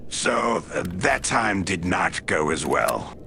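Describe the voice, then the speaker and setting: A man voicing a gruff rookie stalker who narrates in a wry, deadpan way for a video game. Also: deep voice, takes it slowly, a little hiss or hum, heavily acted. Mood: wry, brooding. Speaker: player character and narrator. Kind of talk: cinematic game voiceover, first-person narration. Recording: loud music under the voice. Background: loud music.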